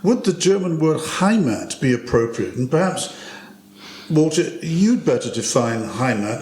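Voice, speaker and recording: A man hosting a conversational interview, friendly and quick to laugh. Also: fairly high pitch, darkish tone. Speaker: interview host. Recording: echoey room.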